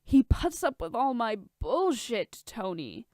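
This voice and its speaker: A woman's voice: on the high side, very animated, takes it slowly, darkish tone, dry recording, studio quality. A woman voicing a drunk, maudlin, belligerent billionaire hero in a story reading.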